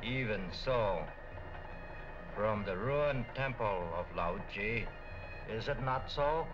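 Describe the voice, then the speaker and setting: An older actor playing a grave, wise old Chinese shopkeeper, formal, cryptic and deliberate, in a 1940s film. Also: male voice, on the low side, lively intonation, noisy background. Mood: solemn. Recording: muffled 1940 film soundtrack with music.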